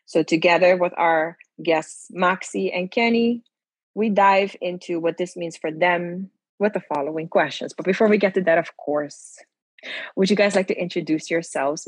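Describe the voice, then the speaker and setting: Husky young woman hosting thoughtfully. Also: fairly low pitch, steady tone, moderate pace, no echo. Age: young adult. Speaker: podcast host. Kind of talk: reflective podcast introduction.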